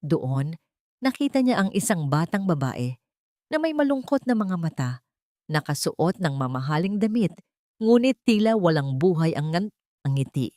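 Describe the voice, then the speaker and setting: Expressive, lowish-voiced woman storyteller. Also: normal speed, dry recording, clean studio sound. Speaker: narrator. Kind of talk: dramatic scripted story narration.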